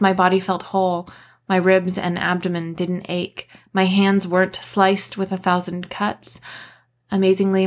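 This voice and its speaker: A woman reads a story aloud in audiobook style. Her voice sits a little low, and she delivers scripted first-person narration as the author of the book. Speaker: author reading own novel, podcast host.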